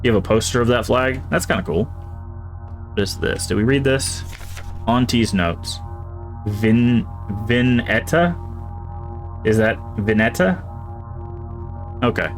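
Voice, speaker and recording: Male streamer, mid-pitched, talking through a horror game and reading item names aloud, soft music under it. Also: expressive, takes it slowly, long pauses. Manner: thinks aloud, reads in-game items and notes. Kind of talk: casual gameplay commentary.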